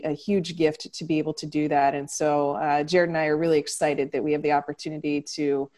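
Low-pitched woman, earnest church staffer, sincere tone. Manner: earnest, warm enthusiasm.

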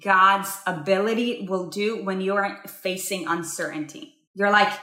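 A woman's voice: mid-range voice, a little slow, sharp tone. Emphatic woman from Israel in an echoey room. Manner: emphatic, repeats herself, addresses listeners directly. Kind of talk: casual spiritual talk.

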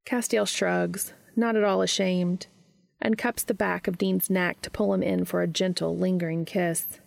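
Very creaky low-voiced woman narrator. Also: fairly even intonation, measured pace. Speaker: fan fiction reader and narrator. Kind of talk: scripted story narration.

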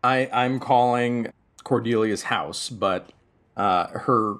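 A man talking casually as a player in a tabletop role-playing game, improvising in halting, tentative phrases. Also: medium pitch, fairly bright tone.

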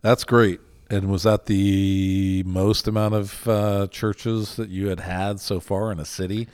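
Very deep-voiced joking host. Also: male voice, level tone, measured pace, a little mellow, clear, resonant tone. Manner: joking, short interjections. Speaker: host, interviewer. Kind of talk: casual interview.

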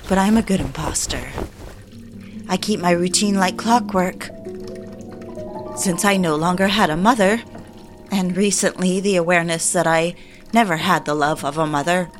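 An actress playing an anguished, bitter 1950s housewife who confides in deliberate, literary phrases, as in a dramatic audio drama narration. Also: female voice, lowish voice, lightly acted. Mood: anguished, lofty. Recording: music behind the voice. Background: music.

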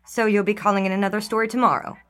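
An American woman playing a brisk, unimpressed 1920s newspaper office worker with a dry, sarcastic manner on the telephone. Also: mid-range voice, little pitch movement, a bit bright, close to an everyday voice. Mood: breezy. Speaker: actress in an audio drama.

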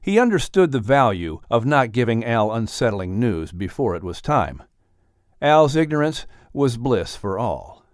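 A man's voice: mid-range voice, very animated, a little slow, no echo, clean studio sound. A man announcing and narrating a children's story in an upbeat, scripted style.

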